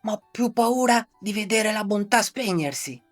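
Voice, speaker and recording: A woman voicing a kind male cocker spaniel in a bedtime story, in a soft breathy voice. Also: medium pitch, expressive, measured pace, faint music behind, close to an everyday voice. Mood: gentle. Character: gentle male cocker spaniel dog, loyal friend.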